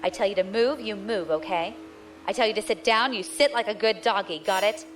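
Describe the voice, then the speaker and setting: A woman's voice: mid-range voice, a little fast, soft background music, close to an everyday voice. A woman playing a brash space pirate boss. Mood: tough. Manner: brash, bossy, crude. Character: tough, foul-mouthed female space pirate leader. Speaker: crew boss.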